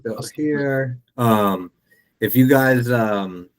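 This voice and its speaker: A man hosting an online webinar, relaxed and full of fillers as he wraps up. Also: medium pitch, extremely slow, rough recording. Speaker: webinar host. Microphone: video call.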